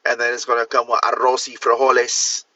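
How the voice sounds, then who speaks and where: A man from Miami chatting casually on a livestream, rambling with restarts and jumping up in pitch to stress a point. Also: monotone, a little fast, pushed and bright, no room sound, muffled recording. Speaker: author hosting a livestream. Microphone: phone or computer mic.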